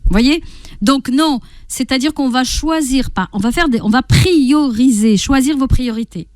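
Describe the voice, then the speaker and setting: High-voiced woman explaining in a dry studio. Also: measured pace, darkish tone, dry recording. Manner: didactic, often checks in with rhetorical questions and acts out inner speech. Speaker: guest parenting coach and therapist. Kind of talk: radio interview, explanatory advice with everyday examples. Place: radio studio.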